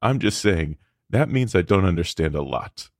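A man with a very low voice and plenty of vocal fry banters as a podcast co-host. He is wry and skeptical, and the sound is close and dry. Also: sing-song, normal speed, dry recording. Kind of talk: casual comedic debate.

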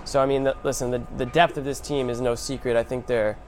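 A man hosting a sports show, recapping basketball in a casual, animated storytelling way with emphatic short phrases. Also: medium pitch, quick pace, slight background noise.

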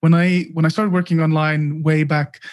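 A man explains his ideas in a measured, somewhat monotone way. His voice sits a little high for a man, and he often circles back and restarts his sentences as he thinks aloud. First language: Afrikaans. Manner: thoughtful, many fillers and restarts. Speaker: guest, startup founder and author. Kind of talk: casual podcast interview.

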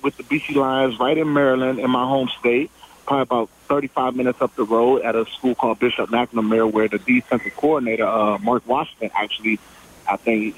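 A man's voice: mid-range voice, rough recording. A man in his twenties, born in Liberia, telling his story casually on a phone interview, with many restarts. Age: 20s. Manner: casual, rambling, with repeated restarts. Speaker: football player guest. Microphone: phone call.

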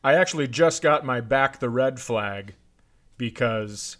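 A man's voice: mid-range voice, measured pace, a bit bright. A man hosting a comedy podcast, chatting casually with sarcastic, profane riffing. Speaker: podcast host.

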